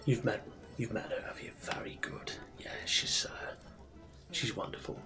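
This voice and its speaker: A man playing a friendly, easygoing tavern barkeep in a soft-spoken, chatty fantasy ASMR roleplay. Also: medium pitch, a little slow, lightly acted. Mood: chatty, reassuring. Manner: informal, chatty, reassuring. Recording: soft background music and tavern ambience. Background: music, tavern ambience.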